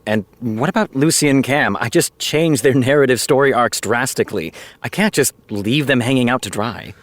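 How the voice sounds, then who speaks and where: An actor playing a wry, guarded TV showrunner with a dry, deadpan sarcasm in a naturalistic audio drama. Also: male voice, mid-range voice, normal speed, dry recording, natural voice. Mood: hesitant, concerned.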